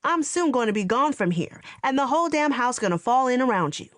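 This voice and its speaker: Woman doing a bitter, sharp-tongued aunt. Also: high-pitched, lively intonation, brisk, no room sound, studio quality, pitched above the performer's own voice. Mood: angry complaining. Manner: sharp, complaining, confrontational. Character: bitter, resentful middle-aged aunt.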